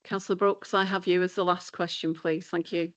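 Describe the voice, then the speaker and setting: A woman chairing a council meeting as mayor, calling speakers in a brisk, formal way. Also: lowish voice, level tone, dull audio.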